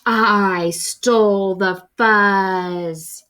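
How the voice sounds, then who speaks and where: A woman voicing a boastful male prairie dog bully in a storybook, barking and gloating in drawn-out boasts. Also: mid-range voice, fairly even intonation, sharp tone. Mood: defiant.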